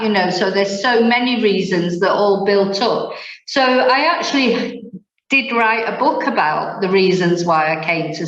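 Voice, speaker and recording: A woman's voice: mid-range voice, fairly bright tone, roomy sound, muffled recording. A woman in her forties from Manchester with a Mancunian accent, a language teacher telling her story as a guest in a casual interview, rambling with fillers and small chuckles. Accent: Mancunian English. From England.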